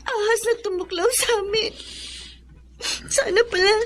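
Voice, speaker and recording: A woman's voice: very high voice, steady tone, measured pace. A woman playing a sorrowful, bitter young daughter in a Filipino radio drama, tearful and plaintive.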